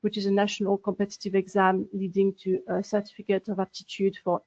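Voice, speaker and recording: A French-accented woman with a creaky, fairly flat voice. She speaks as a webinar panelist, recounting things in hesitant, broken-up phrases. The audio is a little dull and lo-fi. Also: medium pitch, fast. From France. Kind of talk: conversational career story in a webinar. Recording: dull, lo-fi online call audio.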